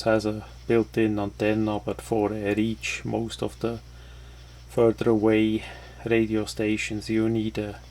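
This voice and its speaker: Slow, flat-toned man reviewing a product. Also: fairly low pitch. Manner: halting, hesitant phrasing. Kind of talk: casual unscripted product walkthrough.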